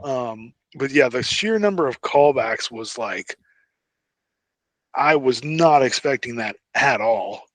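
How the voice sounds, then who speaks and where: A man co-hosting a podcast in casual fan chat, thinking aloud in a halting way. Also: medium pitch, animated, fairly bright tone, no echo. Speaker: podcast co-host.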